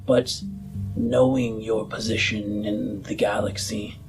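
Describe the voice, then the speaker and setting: A man playing a courteous, earnest politician campaigning for power in a roleplayed audio drama, speaking formally and deliberately. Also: fairly low pitch, level tone, creaky, rough recording. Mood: courteous, persuasive. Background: music.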